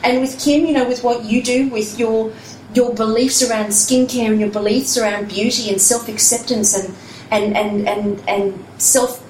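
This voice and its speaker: Bright, high-pitched enthusiastic woman host. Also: fairly even intonation, moderate pace. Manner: enthusiastic, rambling, many fillers. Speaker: podcast host. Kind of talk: conversational interview. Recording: echoey room, faint hiss.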